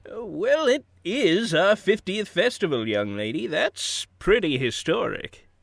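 A man's voice: high-pitched, very animated, a little slow, gravelly, no room sound, full character voice. A man playing a genial, evasive older small-town mayor in an audio drama, folksy and avuncular, hemming and hedging as he talks.

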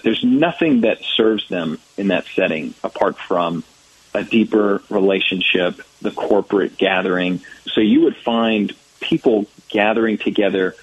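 A man's voice: fairly low pitch, slightly rough, dull audio. An American man in his thirties, a church ministry director answering interview questions thoughtfully, with many fillers and restarts. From United States.

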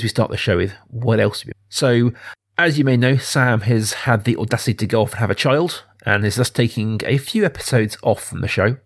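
Low, creaky-voiced man hosting a podcast. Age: 30s. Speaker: podcast host. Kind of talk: conversational film podcast hosting.

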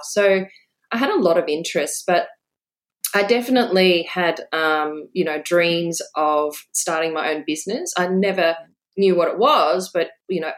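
Woman in her forties, slightly low, chatty interview guest who laughs easily. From Australia. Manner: warm, chatty, laughs often, many fillers. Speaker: guest, business owner. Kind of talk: casual interview storytelling.

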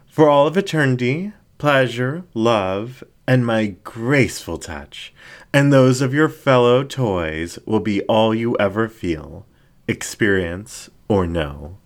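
A man voicing a seductive, commanding male fox demon ringmaster in a story reading, slow, grandiose and coaxing. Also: mid-range voice, expressive. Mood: grandly enticing.

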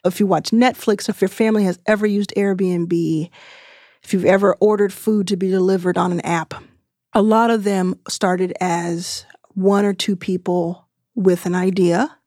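A woman in her thirties speaks in a measured, explanatory way, pausing on fillers as she thinks. Her voice sits at a middle pitch. The recording is dry and close. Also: steady tone, a little mellow, no echo. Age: 30s. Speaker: venture capital investor. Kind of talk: conversational interview answers.

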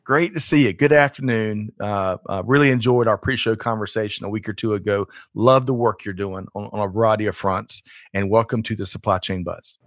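A man's voice: on the low side, fairly even intonation, a little fast, hardly any pauses, dry recording. Chatty man host, dry sound. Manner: upbeat, chatty, self-correcting. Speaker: show host. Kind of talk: podcast hosting.

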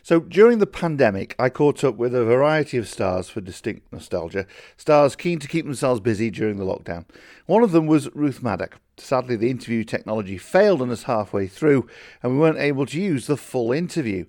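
A man's voice: medium pitch, big pitch swings, a little fast, a little mellow, no room sound, studio quality. A man presenting a scripted introduction as a podcast host and interviewer.

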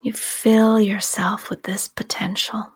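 A woman leading a guided meditation in a soft, often whispery voice, calm and soothing, with long unhurried pauses. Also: mid-range voice, husky. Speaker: meditation guide and podcast host. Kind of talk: guided meditation, slow scripted narration.

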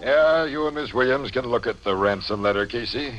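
A man's voice: medium pitch, a little fast, a bit bright, faint music behind, close to an everyday voice, poor audio. An American man playing a businesslike, authoritative police captain in an old radio crime drama. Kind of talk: 1950 radio acting. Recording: old 1950 radio broadcast recording.

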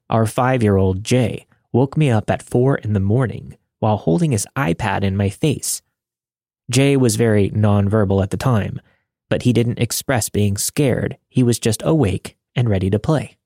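Low, mellow man narrating stories. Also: no room sound, clean studio sound. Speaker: podcast narrator reading listener stories. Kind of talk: scripted narration.

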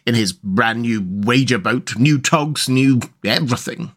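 A man's voice: medium pitch, unhurried, fairly bright tone. A man voicing a breezy, gossipy otter from a storybook, blustery and chummy in an old-fashioned way.

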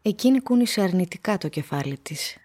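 An actress narrating a village mystery in a radio play, husky, measured and observant. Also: female voice, medium pitch, expressive, warm tone.

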